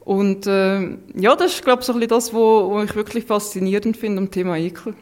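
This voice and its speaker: A woman's voice: medium pitch, fairly even intonation, brisk. A woman in her thirties with a mixed Swiss German accent, speaking casually and reflectively as a podcast guest. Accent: Swiss German, mixed Lucerne, Thurgau and Zurich. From Switzerland. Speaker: interview guest, food scientist.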